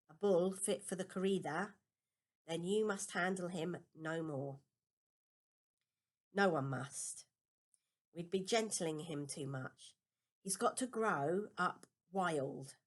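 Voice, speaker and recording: A woman voicing a gentle but firm mother explaining things to her child in a storybook reading. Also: lowish voice.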